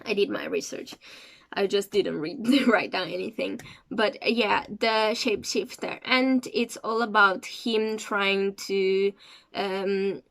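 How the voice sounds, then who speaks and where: Woman, talks slow, stops a lot to find her words, chatty review vlog. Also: medium pitch, creaky, a bit bright. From Cape Verde. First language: Portuguese. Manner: hesitant, searching for words as she goes. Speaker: series reviewer.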